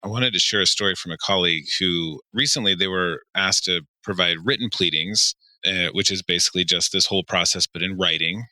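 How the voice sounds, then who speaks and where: A man's voice: deep voice, fairly quick, few pauses, sharp tone, no echo, low-quality recording. A man co-hosting a podcast, telling stories and explaining in a casual way. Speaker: podcast co-host.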